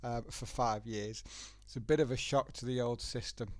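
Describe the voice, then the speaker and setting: A man's voice: mid-range voice, fairly even intonation, moderate pace, dry recording. A man with a head cold and a blocked nose, hosting a live trading webinar and walking through charts step by step in a chatty way. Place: working from a laptop in a home setting. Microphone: laptop.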